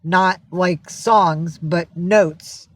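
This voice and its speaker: A man's voice: sits high, fairly even intonation, extremely slow. A man hosting a casual live stream, answering viewer comments and rambling with lots of fillers and restarts. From San Francisco Bay Area.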